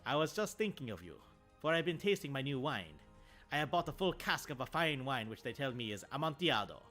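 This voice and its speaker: A man doing a put-on Italian accent to voice a proud, vengeful nobleman, narrating with measured theatrical delivery. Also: mid-range voice, big pitch swings, a bit bright, soft background music, voice pushed up. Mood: falsely cordial. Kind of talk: dramatic reading aloud.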